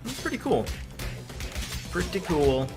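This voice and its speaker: A man's voice: high-pitched, sing-song, a little slow, music in the background. A man chatting casually as co-commentator during co-op gameplay. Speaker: gaming co-commentator.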